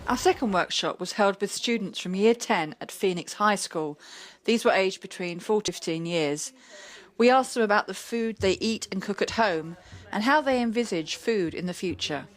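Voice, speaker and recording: A woman giving an even, informative scripted narration. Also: mid-range voice, normal speed.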